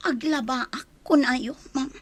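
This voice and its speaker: An actress playing a meek, frightened captive maid with an Ilocano Filipino accent, pleading in a radio drama. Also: female voice, high voice, a little slow, no room sound.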